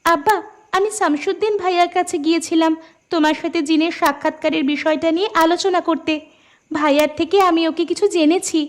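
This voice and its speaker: A woman's voice: very high-pitched, steady tone, a little fast. A woman voicing an earnest young village daughter in a cartoon. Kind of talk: cartoon dubbing.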